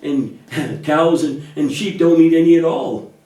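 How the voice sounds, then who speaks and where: A man's voice: fairly high pitch, fairly even intonation, measured pace, mellow. A man speaking patiently and reassuringly in a children's church puppet show, explaining things in simple terms. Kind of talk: gentle scripted dialogue teaching children about faith. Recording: echoey room, distant microphone.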